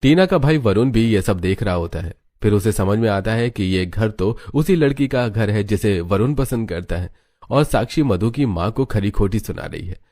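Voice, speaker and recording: Low, mellow male storyteller. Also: expressive, normal speed, dry recording. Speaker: story narrator. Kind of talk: scripted story narration.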